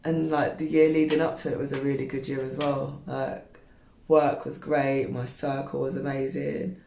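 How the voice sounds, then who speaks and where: A woman's voice: very deep voice, flat delivery, normal speed, creaky, warm tone, echoey room, slight background noise. A woman in her thirties chatting candidly to camera while straightening her hair, rambling with lots of fillers. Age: 30s. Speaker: beauty vlogger.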